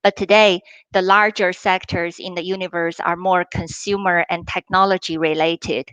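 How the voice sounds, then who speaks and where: A woman speaks as a guest fund manager, explaining things in a measured way over a remote call. Her voice is low-pitched and smooth. The sound is dry and close, with no room echo. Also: steady tone, fairly bright tone, lo-fi sound. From China. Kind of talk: webinar interview answers. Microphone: remote call line.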